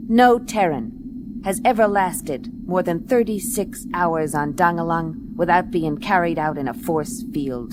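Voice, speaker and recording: Deadpan, low-pitched space detective. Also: female voice, background noise. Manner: terse, deadpan, hard-boiled. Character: tough, wisecracking female private eye in space. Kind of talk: noir detective radio play, dialogue and narration. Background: clear music bed.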